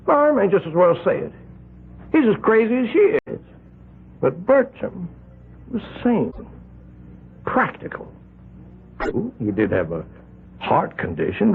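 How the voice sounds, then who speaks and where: An actor playing an agitated, wealthy older vigilante leader in an old-time radio drama, an American man with a halting, emphatic, self-justifying delivery. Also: high-pitched, gravelly, breathy edge, heavily acted. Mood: defensive. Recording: muffled, narrow-band old radio recording.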